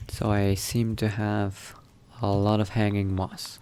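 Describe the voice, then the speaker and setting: A man doing in-character game commentary, thinking aloud in a musing, unhurried murmur to himself. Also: lowish voice, level tone, mellow, smooth. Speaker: gamer narrating a roleplay playthrough.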